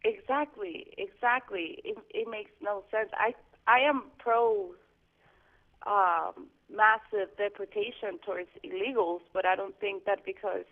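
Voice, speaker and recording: A woman's voice: mid-range voice, fairly even intonation. A woman caller from Guatemala, heard through a narrow, tinny telephone line. She talks earnestly, feeling her way through her point with frequent restarts and hesitations. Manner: earnest, halting, restarts often. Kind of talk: call-in radio chat. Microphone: home landline phone. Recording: phone line.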